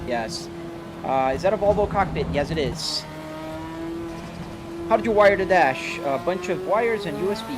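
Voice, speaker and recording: A man's voice: sits high, animated, normal speed, long pauses. A man from Brazil, a native Portuguese speaker, chatting casually on a livestream as he answers viewer questions from a driving simulator. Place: home office sim rig. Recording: music playing behind the voice. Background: music, car engine sounds from game.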